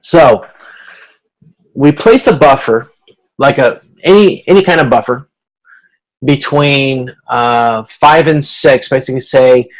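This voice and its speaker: Male instructor, mid-range voice, informal explanatory delivery, halting and restarting often. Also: medium pitch, rough recording. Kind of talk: informal explanatory lecture over video conference.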